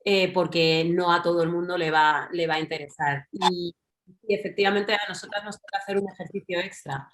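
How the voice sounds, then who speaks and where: A woman with a Madrid accent, speaking in a slightly low voice. She speaks as a panelist on a video call, working through her thoughts aloud with small fillers and asides. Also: fairly even intonation, brisk, fairly bright tone. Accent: Madrid Spanish. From Spain. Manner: reflective, thinking aloud with fillers and tag questions. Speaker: panelist writer. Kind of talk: online panel talk.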